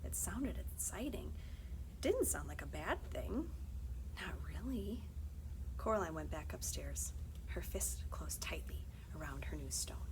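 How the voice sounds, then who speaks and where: Read-aloud narrator: woman, thirties, lively intonation, measured storytelling, some ambient noise. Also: low voice.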